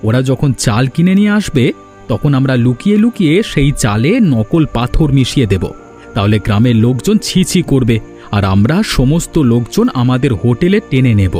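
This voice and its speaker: A man's voice: medium pitch, big pitch swings, moderate pace, mellow, close to an everyday voice. A man voicing a spiteful, scheming villager in a cartoon fairy tale, with a grumbling, conniving manner. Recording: music behind the voice.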